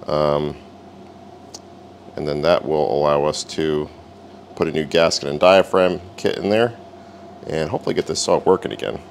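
Very deep gravelly man, workshop repair talk. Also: normal speed, pauses often, a little hiss or hum. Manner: relaxed, wry, talks while working. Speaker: repair video host. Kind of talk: casual hands-on repair narration. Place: home garage workshop.

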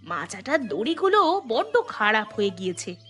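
Woman playing a bright-voiced village housewife. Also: on the high side, sing-song, normal speed, faint music behind. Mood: busy, content. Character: hardworking, thrifty village housewife, practical and chatty. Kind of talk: Bengali cartoon voice acting.

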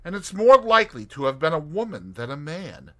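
A man raises his voice into a brisk young woman detective, eager and emphatic. The pitch is lifted and leaps around with lively energy. Her tone is bright and crisp, as read aloud in an audiobook. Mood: determined. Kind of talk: audiobook character reading.

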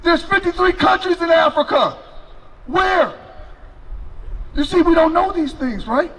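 A middle-aged man preaching loudly on a city sidewalk outdoors into a handheld mic, questioning people as they pass. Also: very high-pitched, animated, unhurried, rough recording. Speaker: street preacher.

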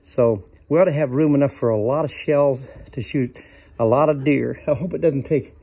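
A man's voice: medium pitch, moderate pace, warm tone, husky, dry recording. An older man casually showing his cabin outdoors in the woods, rambling in a folksy way with many fillers, recorded on a handheld phone. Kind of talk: casual walkthrough chat.

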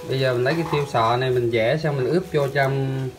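A man with a southern Vietnamese accent talks as a casual vlogger. He addresses his viewers in a familiar, chatty way, and music plays clearly behind his voice. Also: mid-range voice, fairly even intonation, quick pace. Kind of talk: casual vlog narration.

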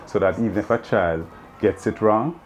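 Jamaican math teacher in his fifties, very low voice, earnest and explanatory, light background noise outdoors. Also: moderate pace, dull audio. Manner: earnest, explaining with many "you know"s. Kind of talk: interview answers. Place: open veranda outdoors.

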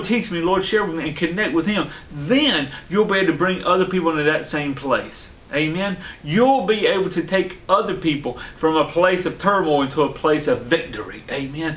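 A man's voice: on the high side, fairly bright tone. A man in his forties, an online pastor preaching a sermon to camera, fervent and punctuating his lines with amen and hallelujah. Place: studio set with a dark backdrop, indoors. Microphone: fixed camera, seated at a medium distance. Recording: roomy studio echo.

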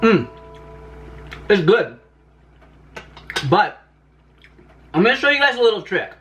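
A man's voice: high-pitched, big pitch swings, slow, fairly bright tone, highs cut off, soft background music. A young man hosting a cooking vlog from his small home kitchen, talking to the camera in an upbeat, chatty way. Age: young adult. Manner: upbeat, chatty, addresses viewers as "you guys". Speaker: cooking channel host.

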